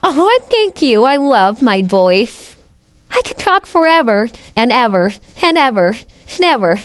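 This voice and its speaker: Man doing a cute female toy dragon, cartoon, high sweet voice, playful, voice-changed, dry sound. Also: big pitch swings, unhurried, a bit bright, clean tone, no echo, full character voice. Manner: sugary, girlish, playful. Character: cute, sweet female stuffed-animal plush dragon, cuddly and cheerful. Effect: AI voice changer to sound feminine. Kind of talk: cartoon character voice.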